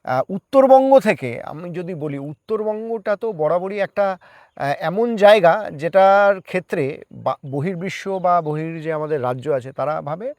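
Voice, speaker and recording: A man's voice: sits high, animated, a little slow, darkish tone, no room sound. A man answering conversationally as an interview guest, explaining things and mixing in English words. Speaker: guest, part-time journalist.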